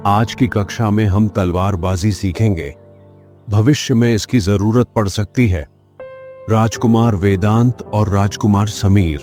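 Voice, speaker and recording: A man's voice: lowish voice, warm tone, natural voice. A man voicing a kindly, dignified guru in a children's moral story, formal and authoritative.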